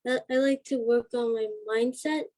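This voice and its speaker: A woman's voice: a little high, steady tone, moderate pace, dark tone. A female stage actress in an interview, giving brief answers in a hesitant, reserved way.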